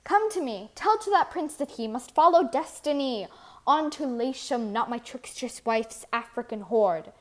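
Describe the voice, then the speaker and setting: A woman's voice: high voice, expressive, unhurried. A woman playing an irascible, commanding king of the gods in a theatrical reading of a verse libretto. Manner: commanding, blustering.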